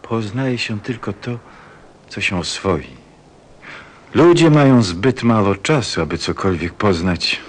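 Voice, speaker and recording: A man playing a gentle, wistful fox who craves friendship, speaking earnestly and tenderly in an audio play. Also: lowish voice, lively intonation. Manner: slow, earnest, tender delivery. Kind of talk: Polish-language audio play acting.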